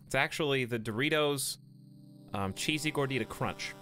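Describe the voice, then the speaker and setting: A man's voice: mid-range voice, moderate pace, bright, forceful tone. A man with a cold, chatting casually with viewers while live-streaming a game from home on a desk mic. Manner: chatty, greets viewers, jokes. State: has a cold. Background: faint game music.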